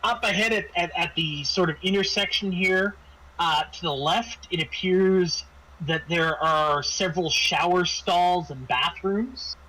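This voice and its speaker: A man narrating a tabletop roleplaying game as game master, improvising scenes in a halting way as he thinks aloud. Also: high-pitched, bright, forceful tone, rough recording.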